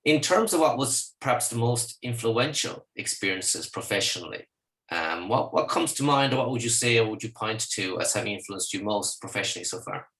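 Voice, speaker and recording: Encouraging male podcast interviewer, lowish voice. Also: animated, fairly quick. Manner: warm, encouraging, with hesitant fillers.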